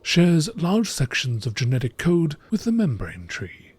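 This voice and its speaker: A man narrating scripted nature documentary entries in a measured, formal, deliberate way, with a husky, breathy voice. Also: fairly high pitch, huge pitch swings, a little mellow. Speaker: narrator reading game lore entries.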